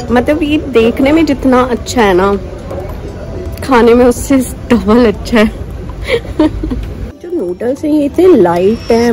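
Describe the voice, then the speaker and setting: A woman's voice: fairly high pitch, warm tone. A woman in her thirties chatting to a phone camera in a small cafe, eagerly praising her food. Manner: chatty, enthusiastic, repeats praise while tasting. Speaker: food vlogger. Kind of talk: casual food vlog to camera. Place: small indoor cafe by a window. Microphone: phone held at arm's length.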